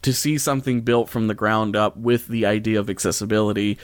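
A man's voice: fairly low pitch, fairly even intonation, fairly quick, clear, resonant tone, no room sound, clean studio sound. A man hosting a casual podcast chat in a joking, wry manner. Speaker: podcast host.

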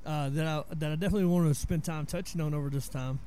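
Mellow-voiced Southern man telling stories. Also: a little high, steady tone, fast, a little hiss or hum. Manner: laid-back storyteller, explains word origins. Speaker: podcast host. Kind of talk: casual chat.

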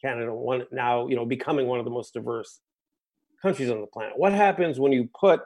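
A man's voice: mid-range voice, a little mellow. Discursive man checking in with "right?". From United States. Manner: discursive, keeps checking in with "right?" and "you know". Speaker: guest musician. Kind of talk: reflective interview conversation.

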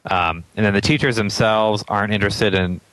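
A man with a very low, smooth, resonant voice talks as a guest in a casual interview. He is from Atlanta and thinks aloud with plenty of ums and easy chuckles. The sound is dry and close. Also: a little fast, no echo, low-quality recording. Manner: relaxed, thinks aloud with many ums, laughs often. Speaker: interview guest, startup founder.

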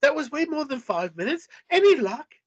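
A man's voice: very high-pitched, very animated, normal speed, dry recording, lo-fi sound, voice pushed up. A man voicing an exasperated, fearful young British woman while reading an adventure story aloud.

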